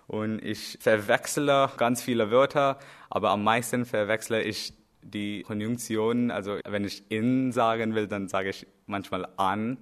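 A young man from India with an Indian accent, a native Hindi speaker, talking about learning German and pausing often to search for words. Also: on the low side, steady tone, smooth. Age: young adult. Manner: halting, searching for words with frequent fillers. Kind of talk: personal portrait talk.

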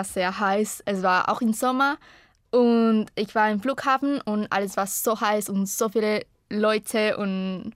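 A woman's voice: on the high side, dry recording, studio quality. Young woman speaking learner German. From Costa Rica. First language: Spanish. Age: 20s. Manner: hesitant, searching for words. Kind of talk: personal self-introduction.

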